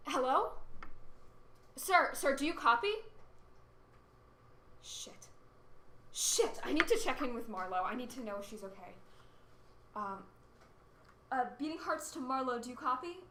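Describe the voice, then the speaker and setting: An anxious, determined female radio host played by an actress in a disaster radio play. Her voice is bright and a little high, recorded in a roomy space with echo. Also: very animated, a little slow, pauses often, full character voice. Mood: frantic. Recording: roomy echo with faint noise.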